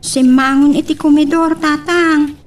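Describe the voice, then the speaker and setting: A woman's voice: high-pitched, steady tone, a little slow. A woman playing an earnest young daughter who pleads for her family in an audio drama, a little off the microphone. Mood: concerned. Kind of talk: Ilocano radio-style audio drama. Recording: distant from the microphone.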